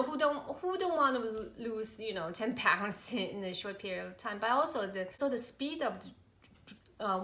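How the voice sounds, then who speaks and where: A woman's voice: fairly high pitch, fairly bright tone. Nasal mother chatting in an echoey room. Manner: rambling, halting, many fillers and restarts. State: nasal from a cold. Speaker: vlogger, mother of three. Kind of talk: casual chat to camera.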